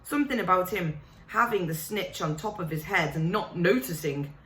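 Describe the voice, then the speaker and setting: Adolescent jovial, lu par une femme, ton enjoué, pièce qui résonne. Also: female voice, on the low side, expressive, moderate pace, a bit bright, reverberant, low-quality recording. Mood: lively.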